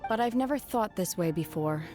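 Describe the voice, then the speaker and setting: A woman voicing a reserved, aloof young woman raised apart from people, speaking calmly and quietly. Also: mid-range voice, a little fast, lightly acted. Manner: calm, quiet, detached. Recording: game dialogue with music behind.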